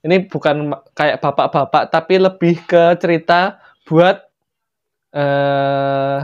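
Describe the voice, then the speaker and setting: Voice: mid-range voice, fairly even intonation, slow pace, clean tone, dull audio. A young adult with a Javanese-influenced Indonesian accent improvises a story casually, with playful, joking asides. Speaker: storyteller, channel host.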